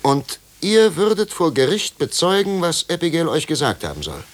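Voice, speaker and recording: An actor in a 1950s German radio play, playing a solemn, righteous pastor in a grave, formal, measured preaching tone. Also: male voice, a little high, huge pitch swings. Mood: stern, disbelieving. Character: solemn, righteous male pastor investigating witchcraft. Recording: hissy 1950s radio recording.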